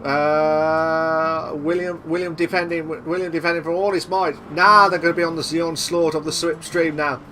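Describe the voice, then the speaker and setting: Excitable man calling a sim race. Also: fairly high pitch, moderate pace, hardly any pauses, slight background noise. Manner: excitable, repeats himself, shouts at big moves. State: losing his voice. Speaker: sim racing commentator. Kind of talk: live race commentary.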